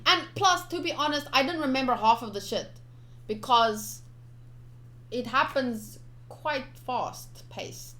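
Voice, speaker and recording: A woman's voice: high-pitched, slow pace, pushed and bright. A young woman streaming a game, informal and chatty, switching between casual commentary and reading aloud.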